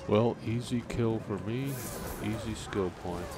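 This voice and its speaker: A man's voice: fairly low pitch, measured pace, over loud music. A man giving commentary as he plays a video game, hosting a let's play. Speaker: gaming video host. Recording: noisy, game sounds behind voice.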